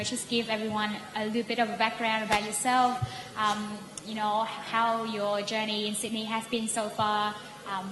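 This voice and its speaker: A woman moderating a student panel, introducing each speaker with small stumbles and restarts. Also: on the high side. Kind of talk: introducing panelists at a live event. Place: event hall. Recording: noisy reverberant hall.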